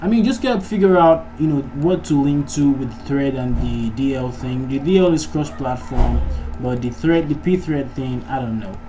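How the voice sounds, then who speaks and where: A man giving a casual programming tutorial, thinking aloud in an informal, halting way as he works. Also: mid-range voice, mellow, faint music behind. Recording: noisy mic with keyboard typing. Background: background noise, keyboard typing.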